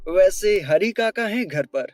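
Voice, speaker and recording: A man voicing a courteous, sincere, lovelorn young boss in a Hindi animated melodrama. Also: high-pitched, unhurried, dry recording. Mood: businesslike. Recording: music bed behind the voice.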